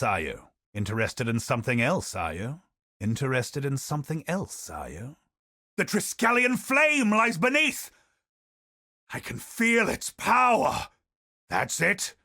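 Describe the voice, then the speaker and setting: An actor playing a bold, honor-bound adventurer in a video game, with theatrical battle cries. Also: male voice, fairly high pitch, pushed and bright, no room sound, clean studio sound, heavily acted. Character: bold male knightly treasure-hunting adventurer.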